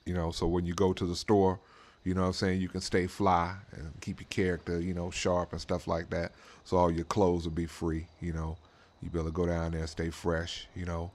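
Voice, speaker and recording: Low, monotone man talking casually. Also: fairly quick. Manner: laid-back, slangy, repetitive tag phrases. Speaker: host of a racing roleplay channel. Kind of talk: casual rambling gameplay commentary.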